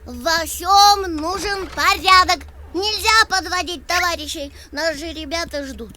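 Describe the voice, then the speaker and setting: Cartoon kitten ringleader: very high voice, self-assured, instructive, playful. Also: female voice, measured pace, pushed and bright, a little hiss or hum, full character voice. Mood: eager, self-justifying. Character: bossy, confident little boy kitten, playful leader. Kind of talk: children's cartoon voice acting.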